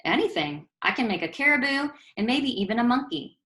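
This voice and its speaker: A woman's voice: a little high, very animated, a little fast, bright, forceful tone, roomy sound, voice pushed up. A woman voicing a boastful young boy in a storybook, casual and self-assured. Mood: confident. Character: breezy, boastful young boy who builds things.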